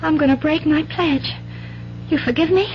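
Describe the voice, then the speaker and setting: A small, earnest girl with a high, innocent voice and childlike trust. The seventies radio drama sounds muffled, with faint noise under it. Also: normal speed. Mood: scared, hopeful. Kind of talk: 1970s radio drama acting. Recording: dull, noisy vintage radio broadcast.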